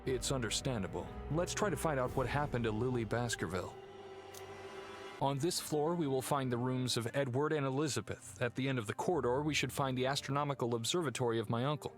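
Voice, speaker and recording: A man's voice: mid-range voice, brisk, faint music behind, close to an everyday voice. Man playing Sir Henry, courteous, earnest heir. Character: Sir Henry, polite, serious male heir of cursed family. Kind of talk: video game dialogue acting.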